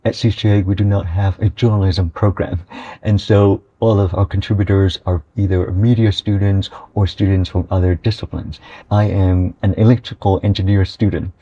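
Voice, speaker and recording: A man's voice: on the low side, mellow. A young man from Vietnam who edits a student newspaper, answering radio interview questions earnestly and often adding "right?". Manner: earnest, with frequent "right?" tags. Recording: dull, lo-fi audio.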